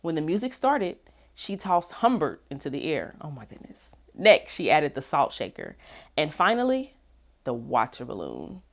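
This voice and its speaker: A woman reading a children's storybook aloud in an expressive, animated way with dramatic pauses. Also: sits low. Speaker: read-aloud narrator.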